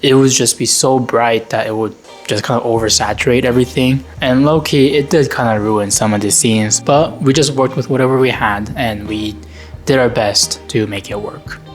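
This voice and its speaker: A man's voice: medium pitch, fairly even intonation, normal speed, soft background music. Candid young man talking about his film. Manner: candid, self-critical, lots of fillers like "you know". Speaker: vlogger and amateur filmmaker. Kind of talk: reflective casual narration. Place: home.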